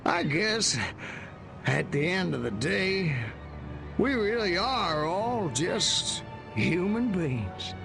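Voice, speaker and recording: An actor playing a blustering, paranoid, hot-tempered military warmonger in a video game, bombastic and gravelly. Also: male voice, high-pitched, sing-song, very slow, full character voice. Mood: beaten, wondering. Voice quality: gravelly, forceful. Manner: bombastic, barking. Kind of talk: video game cutscene acting. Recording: long hall reverb, faint music.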